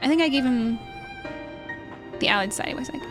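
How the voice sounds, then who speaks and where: A woman's voice: sits high, huge pitch swings, brisk, music in the background. A woman doing casual, joking gameplay commentary as a streamer, playful and free with swearing. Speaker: game streamer.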